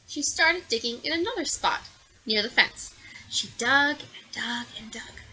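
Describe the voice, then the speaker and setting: A woman reading a children's picture book aloud in an animated, expressive way. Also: fairly high pitch, measured pace, slightly rough, rough recording. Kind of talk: children's storybook read-aloud.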